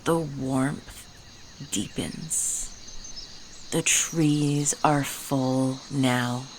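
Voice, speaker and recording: A woman leading a soothing guided meditation in a hushed, gentle way with deliberate pauses and soft phrase endings. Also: very deep voice, steady tone, a little hiss or hum.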